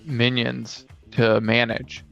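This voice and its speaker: A man's voice: mid-range voice, monotone, slow, creaky, fairly bright tone, highs cut off, soft background music. A man co-hosting a casual chat about tabletop role-playing, talking as a player in an informal way. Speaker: podcast co-host and tabletop player.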